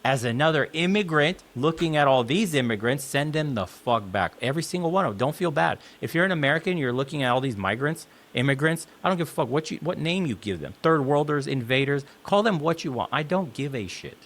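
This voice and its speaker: A man's voice: fairly high pitch, expressive, a little fast, hardly any pauses, fairly bright tone. Profane man ranting bluntly in a clip. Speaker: commentator in a clip being reacted to. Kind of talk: blunt opinion rant.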